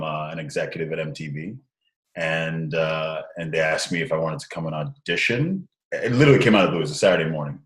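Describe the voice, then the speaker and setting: A man in his thirties talking in a casual interview over a call, rambling with restarts and growing animated as he tells stories. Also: deep voice, reverberant. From Nigeria and Canada, raised partly in the US. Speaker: guest, social impact entrepreneur. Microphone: call recording.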